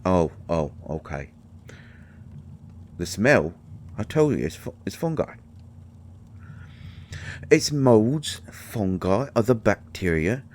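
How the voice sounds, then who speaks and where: A man playing a tiny, gruff but shy and caring potion-brewing healer in an audio drama, with a mottled British accent and a halting, stammering delivery. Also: fairly low pitch, big pitch swings, darkish tone, a little hiss or hum. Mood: reassuring, flustered. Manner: halting, stammering, mumbling asides. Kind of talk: intimate roleplay audio drama monologue.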